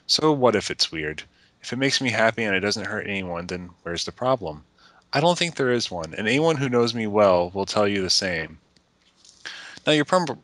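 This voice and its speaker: A man reads a letter aloud with earnest emphasis. His voice sits very low, yet it is bright and forceful. The sound is dry and close. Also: dry recording. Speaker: reader of a letter to friends.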